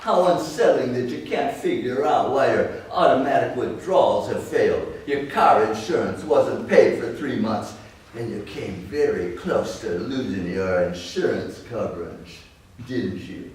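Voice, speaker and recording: A man's voice: medium pitch, lively intonation, measured pace, darkish tone, close to an everyday voice. A man playing a menacing stranger, smug and mock-friendly, in a readers theater piece. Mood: threatening. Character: menacing male stranger, falsely cheerful, taunting. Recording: very echoey room, distant mic.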